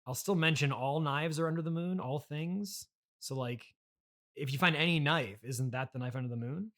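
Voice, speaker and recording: A man hosting a comedy interview, hesitant and halting, politely skeptical as he asks questions. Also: fairly high pitch, a bit bright. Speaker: interviewer, podcast host. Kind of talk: improvised deadpan comedy interview.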